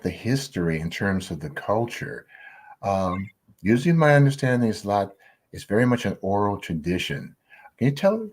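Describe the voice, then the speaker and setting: A man hosting an interview, reading a formal introduction and then asking casual questions. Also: on the low side. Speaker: interview host.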